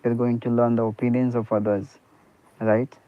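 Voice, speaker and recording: A man's voice: mid-range voice, flat delivery, moderate pace, smooth, no room sound. Man on a tinny line. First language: Hindi. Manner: earnest, repeats "you know" and "okay" often, restarts sentences. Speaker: private teacher. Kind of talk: English speaking practice conversation.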